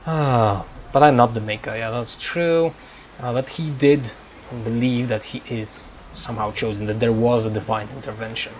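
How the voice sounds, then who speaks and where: A man hosting a gaming playthrough, commenting casually in a halting way as he searches for words. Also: mid-range voice, steady tone. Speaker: gaming video host. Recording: dull sound, faint background noise.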